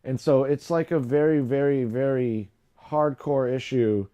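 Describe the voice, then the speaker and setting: A young adult man hosting a livestream, chatting casually and rambling with lots of fillers and restarts, his voice low today. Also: a little slow, mellow, clear, resonant tone, muffled recording. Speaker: live stream host.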